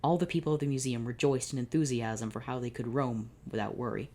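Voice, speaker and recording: A woman reading fanfiction aloud in a deadpan narration, breaking off for exasperated sarcastic asides. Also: rumbling low, fairly quick. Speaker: fanfiction reader.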